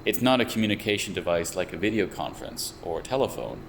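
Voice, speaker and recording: A young man working as a VR researcher and developer, explaining his views in a measured, thoughtful way with frequent restarts. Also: sits low, creaky. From United States. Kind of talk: explanatory interview talk. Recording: steady air conditioner hum behind. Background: air conditioning hum.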